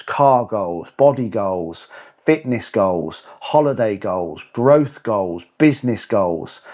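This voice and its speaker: A man's voice: lowish voice, a little mellow. A man in his forties, a coach hosting his own podcast, talking bluntly and emphatically and swearing for emphasis. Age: 40s. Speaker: fitness and lifestyle coach, podcast host. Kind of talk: motivational podcast monologue.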